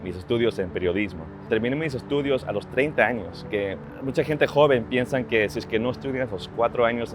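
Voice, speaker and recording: A man's voice: fairly low pitch, background noise. A man in his thirties, born in Ecuador, speaking earnestly about his work and family in a personal interview, often restarting his phrases. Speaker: social media strategist. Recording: clear music bed behind voice. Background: music.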